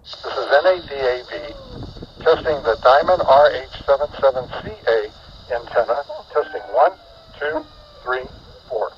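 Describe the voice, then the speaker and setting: A man transmits over a handheld radio, sounding distant and dull with some noise. His delivery is deliberate and measured, while his pitch moves widely. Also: expressive. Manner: deliberate, counting and spelling out call signs. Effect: walkie-talkie radio transmission. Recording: muffled, noisy, distant two-way radio playback.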